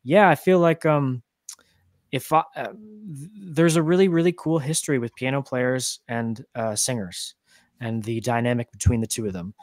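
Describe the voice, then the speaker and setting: A man's voice: medium pitch, normal speed, a little mellow, dry recording. A young man chatting casually as an interview guest, earnest and appreciative, speaking into a close studio microphone. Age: young adult. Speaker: guest musician, singer. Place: home room, indoors, on a video call. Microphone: studio microphone on an arm, close.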